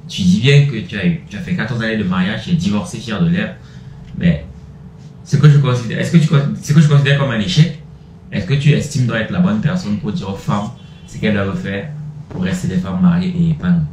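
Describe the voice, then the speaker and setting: Male podcast host, probing interviewer; low voice, roomy echo, faint background noise. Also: a little fast, a little mellow. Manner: probing and provocative, with frequent short acknowledgements. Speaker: podcast moderator. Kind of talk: conversational interviewing. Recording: echoey room with faint hiss.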